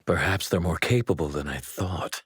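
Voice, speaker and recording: A man in an anime dub voicing a brooding, calculating divine villain in a measured, formal, ominous manner, with effects noise under the voice. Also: low voice, fairly bright tone, slightly rough, dry recording, studio quality, exaggerated voice. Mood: wary. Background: ambient sound effects.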